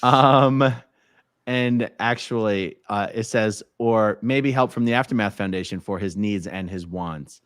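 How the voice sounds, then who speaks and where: A man's voice: lowish voice, measured pace, a bit bright, clean tone, no echo, clean studio sound. A man hosting a casual live-stream interview, easygoing, reading chat comments aloud. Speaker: host.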